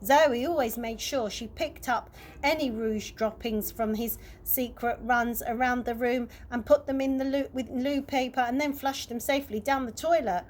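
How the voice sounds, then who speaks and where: High-voiced woman reading a children's story. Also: fairly even intonation, moderate pace. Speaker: early years practitioner reading aloud. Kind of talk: children's bedtime story narration.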